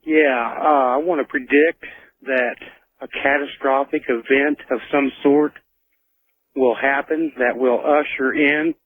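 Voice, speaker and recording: A man calling a radio show, speaking plainly, earnestly and a little haltingly. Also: mid-range voice, steady tone, dry recording. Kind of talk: phone-in prediction, plain talk. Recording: noisy, clicking narrow phone line.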